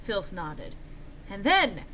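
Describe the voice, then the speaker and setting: A woman's voice: medium pitch, big pitch swings, slow pace, pushed and bright, slight background noise, rough recording. A woman giving a theatrical, exaggerated, mock-earnest dramatic reading of fan fiction as narrator.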